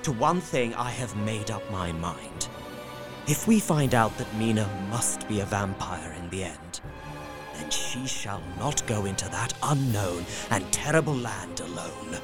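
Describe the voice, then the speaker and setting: A man's voice: on the low side, lively intonation, measured pace, music in the background. A man narrating a gothic drama in the first person, earnest and heartfelt. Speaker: narrator and young husband character.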